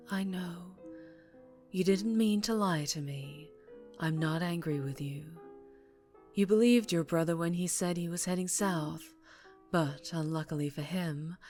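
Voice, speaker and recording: A woman acts out a female crime boss for an ASMR roleplay, her voice low and very measured over background music. She is dangerous and charming, speaking intimately close, sometimes slipping toward a whisper. Her affection is condescending, with cold authority underneath. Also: darkish tone. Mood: soothing, controlled. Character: dangerously charming, ruthless yet tender female mafia boss. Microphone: close-up microphone.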